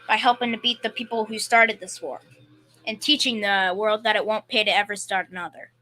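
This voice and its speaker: A woman plays a good-natured family man in an old-time radio play. The voice is bright and sharp, at a medium pitch for a woman. The delivery is plain-spoken, halting and folksy, with a slightly timid streak. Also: steady tone, faint music behind, close to an everyday voice. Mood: earnest. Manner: folksy, halting, repeats words. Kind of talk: old-time radio comedy-drama acting, amateur school performance.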